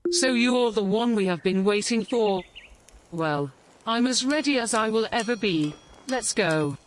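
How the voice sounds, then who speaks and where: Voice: medium pitch, expressive, measured pace, slight background noise, natural voice. An actor playing a bold, eager adventurer companion in a fantasy audio game, theatrical and declamatory.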